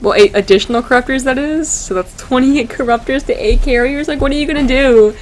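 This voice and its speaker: Excitable woman casting a video game, some noise. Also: sits high, brisk, poor audio. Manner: gushing, excitable, slangy and informal. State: voice tiring, starting to go. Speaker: esports match commentator. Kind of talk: live video game commentary, casual and chatty.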